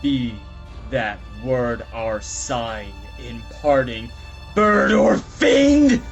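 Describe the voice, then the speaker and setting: A man's voice: medium pitch, expressive, very slow, creaky, heavily acted. Man acting an anguished, grieving gothic poem hero. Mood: furious. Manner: theatrical, declamatory, impassioned. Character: anguished grieving man raging and pleading at a raven. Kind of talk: dramatic poetry reading. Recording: slightly dull lo-fi sound, music behind.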